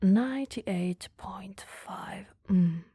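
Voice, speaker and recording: Woman as caring, flirty female boss for ASMR roleplay: very breathy, unhurried, soft-spoken, close on the mic. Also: mid-range voice, dry recording, heavily acted. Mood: flustered, playful. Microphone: close microphone, dry.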